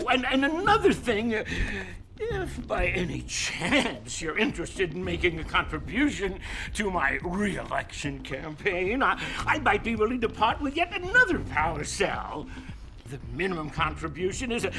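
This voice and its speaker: Nervous greedy mayor, stammers, slow and bouncy, music behind. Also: male voice, sits high, big pitch swings, bright, forceful tone, heavily acted. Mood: fretful. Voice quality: stammering, wheedling. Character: flustered middle-aged male village mayor, greedy. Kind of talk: video game cutscene acting.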